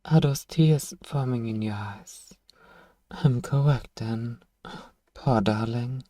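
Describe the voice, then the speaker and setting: A man playing a powerful, manipulative elder vampire in an ASMR roleplay, speaking in a hushed, taunting and condescending way. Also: medium pitch, no echo, recorded from a distance, close to an everyday voice. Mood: coaxing, falsely sympathetic. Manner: slow, hushed, taunting, condescending, lapses into whispers. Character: powerful elder male vampire, suave, menacing, manipulative seducer.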